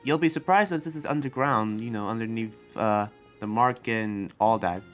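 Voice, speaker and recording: A man's voice: on the low side, fairly bright tone, natural voice. Man playing a hesitant demon slayer, music behind. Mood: hesitant, showing around. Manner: halting, hesitant, trailing off mid-thought. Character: young male demon slayer and researcher, earnest, hesitant guide. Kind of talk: improvised Minecraft anime roleplay.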